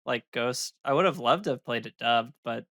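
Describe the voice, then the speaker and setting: A man's voice: medium pitch, normal speed, a bit bright, no echo, lo-fi sound. A man co-hosting a casual gaming podcast who thinks aloud hesitantly, with many fillers. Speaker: podcast co-host.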